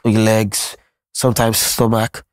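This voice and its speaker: Nigerian man, Yoruba native speaker, earnest musician guest, level even tone, dry close mic. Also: fairly low pitch, a little slow, no room sound. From Nigeria (Lagos). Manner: earnest, reflective, frequent restarts. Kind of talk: candid interview conversation.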